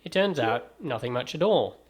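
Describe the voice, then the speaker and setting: A man's voice: medium pitch, expressive, normal speed. A man with a transatlantic accent narrating a scripted game review in a wry, dry-humoured manner.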